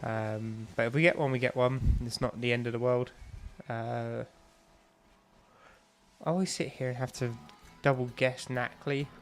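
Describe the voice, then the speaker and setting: A man's voice: mid-range voice, steady tone, a little slow, soft background music. A young man with a stuffy, bunged-up nose, chatting casually and rambling with lots of ums while he streams a game. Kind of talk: casual live-stream chat while playing.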